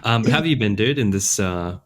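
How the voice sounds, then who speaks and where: A man's voice: on the low side, lively intonation, brisk. A man from Australia with a mild Australian accent, co-hosting a podcast in laid-back, chatty conversation. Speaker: podcast co-host. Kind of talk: casual chat.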